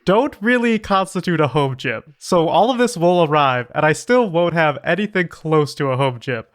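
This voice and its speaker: A man's voice: on the high side, animated, normal speed, no echo. A man co-hosting a podcast, telling a personal story in a casual, wry, conversational way on a close microphone. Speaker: podcast co-host.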